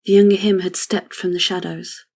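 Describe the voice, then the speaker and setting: A woman narrates a dramatic tale in a lowish voice. Her tone is husky and breathy, and she keeps an even, measured line through the scripted prose.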